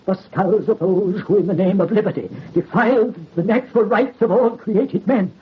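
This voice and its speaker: High-voiced, vengeful dying old count. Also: male voice, normal speed, breathy edge. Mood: fanatical, commanding. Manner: theatrical, archaic formal diction. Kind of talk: old-time radio horror acting. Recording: muffled, noisy old radio recording.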